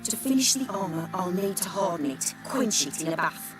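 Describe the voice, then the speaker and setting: A woman's voice: fairly low pitch, animated, normal speed, natural voice. An actress playing a proud, resentful craftswoman in a fantasy game, speaking bluntly and assertively. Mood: determined. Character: proud, blunt, resentful woman armourer, determined to prove herself. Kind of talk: dramatic game dialogue. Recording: game dialogue with music behind.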